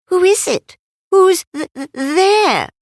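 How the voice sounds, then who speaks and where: A woman voicing a small, timid, squeaky piglet in a children's storybook. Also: big pitch swings, takes it slowly, dry recording, clean studio sound, full character voice. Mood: frightened, timid.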